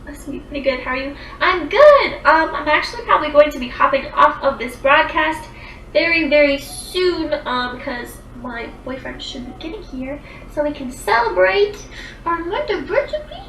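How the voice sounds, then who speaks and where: Lively bright-voiced young woman livestreamer. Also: sits high, moderate pace, echoey room, far from the mic, slight background noise, poor audio. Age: 20s. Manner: chatty, rambling, lots of "like" and "um". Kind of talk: casual livestream chat reading viewer comments.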